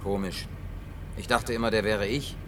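An actor playing an awkward, grumbling drifter in a German science-fiction radio play, speaking colloquially, hesitant and gruffly excitable. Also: male voice, lowish voice, normal speed, recorded from a distance, a little hiss or hum, natural voice. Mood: awkward, subdued. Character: awkward, grumbling male drifter, last man on earth.

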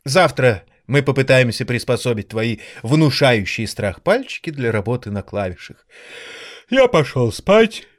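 A man's voice: mid-range voice, big pitch swings, measured pace. A man playing an elderly piano maestro in an audio drama, grandiloquent and indulgent, with theatrical, florid delivery. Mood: tired, satisfied. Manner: theatrical, florid, condescendingly affectionate.

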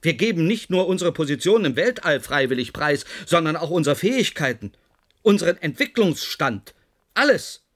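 An actor playing a stern, sceptical doctor in charge, imperious and probing, in a German radio drama. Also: male voice, a little high, big pitch swings, moderate pace, a bit bright. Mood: stern, alarmed.

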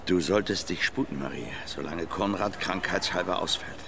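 A scheming, condescending man of influence in a radio play. His voice is very low, and he speaks in a measured, dry, insinuating way. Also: vocal fry. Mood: urging, insinuating. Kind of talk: radio drama acting. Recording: music or ambient noise behind.

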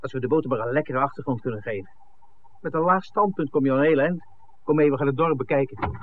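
An actor playing an eager, easygoing television director, speaking in a breezy, exclamatory 1960s Dutch radio drama style. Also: male voice, medium pitch, fast, phone-quality sound, natural voice. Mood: excited. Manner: exclamatory, breezy, chuckling between phrases. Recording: thin, noisy 1960s radio recording.

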